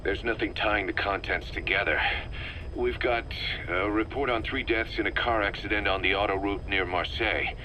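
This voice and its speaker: A man playing an analytical, wary military second-in-command in a video game, explaining things in a measured, methodical way. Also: fairly low pitch, fairly even intonation, pushed and bright, slight background noise, poor audio. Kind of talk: scripted video game cutscene dialogue.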